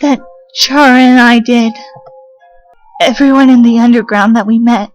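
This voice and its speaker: A woman voicing an earnest, solemn child in a fan comic dub, speaking deliberately with pauses. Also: on the high side, fairly even intonation, lightly acted, poor audio. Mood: solemn confession. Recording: music under the voice.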